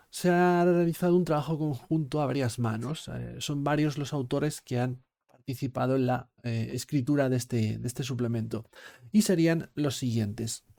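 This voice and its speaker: A man with a Spain Spanish accent hosting a tabletop game review, speaking in a measured, formal way with "eh" pauses. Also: mid-range voice, creaky, warm tone. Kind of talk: product review talk to camera.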